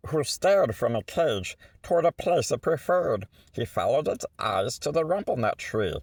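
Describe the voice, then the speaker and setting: Animated man reading a rhyming children's story. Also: mid-range voice, no echo. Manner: theatrical, rhythmic delivery with stretched words and asides. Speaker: storybook reader. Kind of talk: expressive read-aloud of a rhyming children's picture book.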